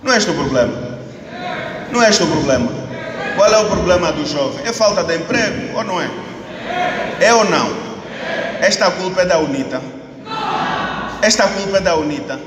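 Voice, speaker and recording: A man's voice: high-pitched, expressive, moderate pace, pauses often. An Angolan man in his thirties delivers a political statement from a podium, hammering home rhetorical questions and repeating lines for emphasis. His voice fills a reverberant hall, picked up by a mic at some distance, with a faint hum of the room behind him. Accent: Angolan Portuguese. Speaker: opposition party spokesman. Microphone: podium mic on a stand, camera at a distance. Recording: echoey hall recording from the camera, faint ambient noise.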